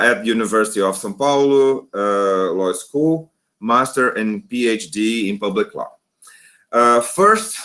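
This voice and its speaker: A man whose first language is Portuguese moderates an online academic panel in English. He reads a formal introduction, often stumbling and restarting. His voice is mid-pitched and plain. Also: a bit bright. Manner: halting, stumbling over words. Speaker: host and moderator.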